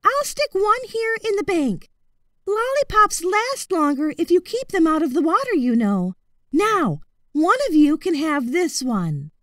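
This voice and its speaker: Woman as a boy adventurer, children's storybook, high chirpy voice, courteous, persuasive, animated intonation. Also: a little slow, no echo, clean studio sound, heavily acted. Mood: helpful. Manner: courteous, bright-mannered, persuasive. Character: chirpy, polite, resourceful young boy adventurer.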